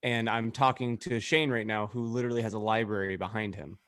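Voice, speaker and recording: A man with a fairly deep voice, recorded dry and close on the mic. He talks in a casual, conversational way as a podcast co-host. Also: steady tone, brisk, fairly bright tone, dry recording. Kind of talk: casual group chat.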